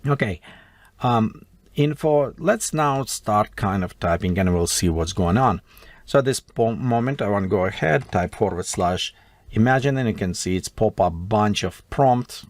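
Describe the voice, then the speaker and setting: A man's voice: on the low side, lively intonation, a little slow, no echo. Russian-accented man typing through a tutorial. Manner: thinks aloud while typing, with broken non-native grammar. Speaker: tutorial host. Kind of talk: screen-recorded software tutorial.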